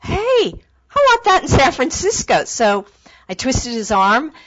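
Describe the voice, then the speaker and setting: A woman organizing a tech meetup, introducing a guest speaker with an animated, enthusiastic manner. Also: sits high, measured pace. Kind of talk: casual introduction. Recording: dull lo-fi audio.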